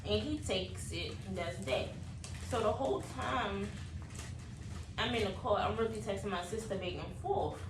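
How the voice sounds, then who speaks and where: A woman's voice: medium pitch, moderate pace. A young woman telling a story in casual chat, acting out the dialogue as she goes. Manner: animated retelling with quoted dialogue. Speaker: vlogger. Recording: roomy, noisy, distant microphone.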